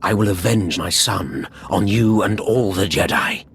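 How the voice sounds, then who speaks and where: An actor playing a vengeful Sith lord in a video game, making grandiose, menacing declarations. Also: male voice, sits low, a little slow, gravelly, husky, exaggerated voice. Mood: vengeful, triumphant. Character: vengeful Sith lord, a grieving father and fanatical villain. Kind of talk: scripted video game acting.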